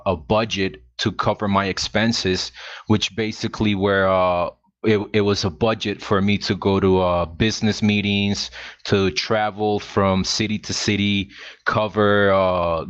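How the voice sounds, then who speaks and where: Male ambassador, low flat voice, halting, muffled dry call audio. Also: no room sound. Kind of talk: casual online discussion.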